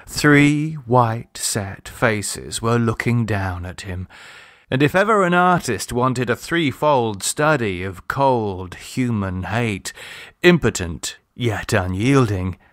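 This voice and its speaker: A man reading a literary short story aloud in a measured, expressive storytelling manner. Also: mid-range voice, dry recording, clean studio sound. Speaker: audiobook reader. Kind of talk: scripted literary story narration.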